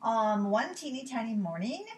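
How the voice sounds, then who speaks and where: A middle-aged woman with a fairly high voice reads to children as a teacher would. Her delivery is expressive and deliberate, with clear emphasis on repeated phrases. She is recorded at home in a living room. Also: a little hiss or hum. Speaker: teacher reading a storytime book. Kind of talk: read-aloud children's storytime.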